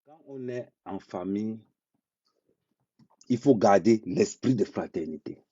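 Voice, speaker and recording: A man with a low, very dark and husky voice talks bluntly and at a slow, deliberate pace, repeating his points to drive them home. The sound is dry and dull, like a lo-fi phone or webcam mic. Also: no echo. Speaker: social media commentator. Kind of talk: unscripted live monologue.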